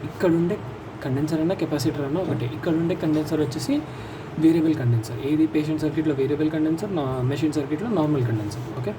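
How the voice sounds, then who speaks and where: A man's voice: a little high, rapid-fire. A man with an Indian accent giving an informal explanatory lesson as a lecturer, repeating and rephrasing key points step by step. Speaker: physiotherapy lecturer. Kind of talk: informal explanatory lesson, mixing English terms into Telugu. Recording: distant mic, loud background vehicle noise.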